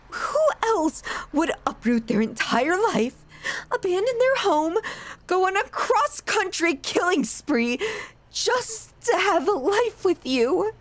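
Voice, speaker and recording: A woman playing an obsessive, lovesick, possessive yandere in an ASMR audio roleplay, with an emotional, halting, dramatic delivery. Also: very high voice, exaggerated voice. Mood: pleading devotion, then manic.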